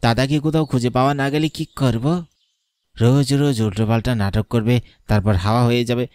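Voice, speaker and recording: A man's voice: medium pitch, steady tone, brisk, darkish tone, smooth, no room sound, studio quality, close to an everyday voice. A man voicing a greedy, scornful younger-brother villain in a melodramatic cartoon, sneering and bossy, over music.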